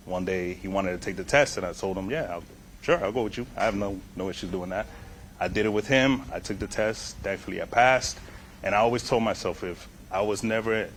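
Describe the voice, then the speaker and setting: Low-voiced earnest police sergeant. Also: male voice, slight background noise. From Puerto Rico. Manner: plain, earnest and direct. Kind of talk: press conference answers.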